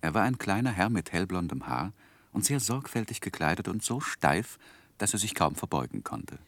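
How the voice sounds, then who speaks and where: An actor playing an earnest, polite young gentleman who tells his life story in a 1960s radio drama, with measured literary delivery. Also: deep voice, sing-song, no echo, heavily acted. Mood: reflective, narrating.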